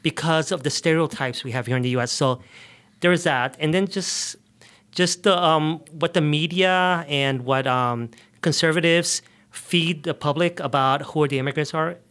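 A man from the United States speaking as an interview guest, explaining things earnestly in conversational, somewhat halting phrases. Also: on the high side, a bit bright, clear, resonant tone. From United States, grew up in New York and the South. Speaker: immigration justice advocate, interview guest.

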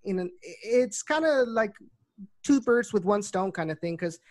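A woman's voice: medium pitch, animated, normal speed, darkish tone. A woman from the Rio Grande Valley in South Texas, a soccer reporter explaining things conversationally as an interview guest. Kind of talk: casual interview chat.

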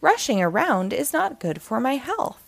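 Woman voicing an easygoing storybook hedgehog, high and lilting. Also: big pitch swings, moderate pace, gravelly, exaggerated voice. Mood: relaxed. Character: easygoing, busy female hedgehog who loves sailing.

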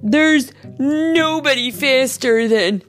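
A woman voicing a boastful, easily wounded coyote in a children's story, with drawn-out, emphatic words. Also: high-pitched, fairly bright tone, faint music behind, pitched above the performer's own voice.

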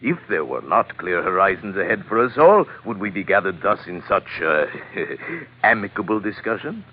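An actor playing a pompous, unctuous villainous colonel with florid, over-polite speech in an old-time radio drama. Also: male voice, low-pitched, lively intonation, normal speed, slight background noise. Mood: smugly courteous. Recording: tinny old radio broadcast.

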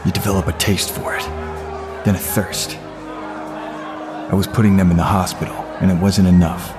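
Man playing a low-voiced, brooding retired vigilante. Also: huge pitch swings, moderate pace. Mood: haunted, confessional. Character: brooding retired male vigilante, haunted billionaire friend. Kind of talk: audio drama acting. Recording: music behind the voice.

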